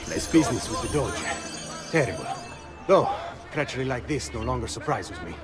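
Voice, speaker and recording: An actor playing an earnest, idealistic Venetian thief leader with an Italian accent, speaking rhetorically in a video game. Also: male voice, mid-range voice, lively intonation, moderate pace, over music, natural voice. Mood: bitter, reflective. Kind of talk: dramatic video game acting.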